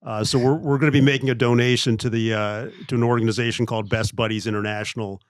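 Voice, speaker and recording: A man's voice: medium pitch, steady tone, quick pace, no room sound. A man hosting a podcast in a studio, agreeable and hesitant, often restarting his sentences and laughing easily. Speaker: podcast host. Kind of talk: casual podcast chat.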